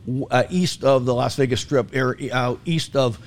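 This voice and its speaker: A man's voice: medium pitch, moderate pace. A man chatting casually as a food commentator on a talk show, in a jokey, self-deprecating way, repeating himself for emphasis. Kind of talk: casual talk radio chat.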